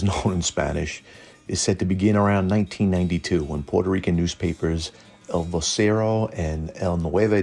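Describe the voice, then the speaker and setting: A man tells lore in a very low, gravelly voice with a husky grain. His narration is informal and wry, with an irreverent streak. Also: darkish tone. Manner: irreverent, wry, mildly profane. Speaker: host.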